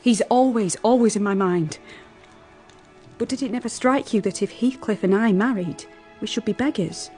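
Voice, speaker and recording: An actress playing a passionate, headstrong young gentlewoman in an intense gothic radio drama. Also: mid-range voice, moderate pace, close to an everyday voice. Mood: passionate, earnest. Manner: impassioned, dramatic. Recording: music behind the voice.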